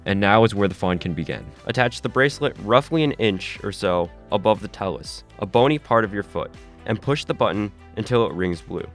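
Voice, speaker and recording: Casting: male actor as low-voiced tech pitchman for an audio drama, slick, salesmanlike, walking customers through a product. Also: lightly acted. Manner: salesmanlike, rhetorical, instructive. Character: slick male tech company head engineer pitching a gadget. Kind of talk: scripted infomercial presentation.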